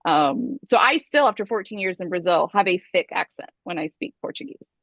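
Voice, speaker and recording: A woman's voice: on the low side, expressive, fairly quick, fairly bright tone, no echo, low-quality recording. American woman author on a video call. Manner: detailed, explains at length. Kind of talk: casual video call chat.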